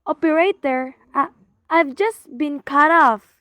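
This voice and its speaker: A high, deliberately paced voice for a nervous, demanding wife played by a woman in a radio thriller. She is frail and fretful, pleading and ordering with melodramatic weight. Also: a bit bright, no room sound. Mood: shaken, urgent. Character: frail, anxious, imperious wife alone at home. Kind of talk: old-time radio thriller acting.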